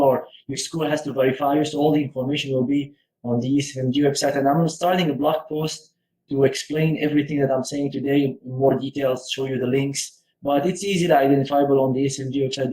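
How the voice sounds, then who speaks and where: A young man from Syria, a medical research fellow, giving advice in a relaxed talk with many fillers and restarts. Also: medium pitch. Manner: hesitant, with frequent fillers and restarts. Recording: echoey room.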